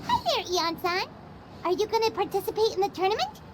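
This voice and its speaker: A woman voicing a tiny, excitable, chirpy fairy companion in an anime video game. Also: extremely high pitch, brisk, heavy background noise. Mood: curious. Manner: excitable, speaks of herself in third person.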